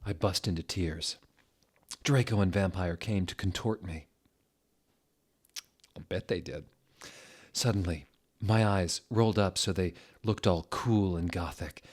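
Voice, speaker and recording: A man giving a comic dramatic reading of a story, theatrical and deliberate, with incredulous asides. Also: low-pitched, breathy edge. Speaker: dramatic reader of bad fan fiction.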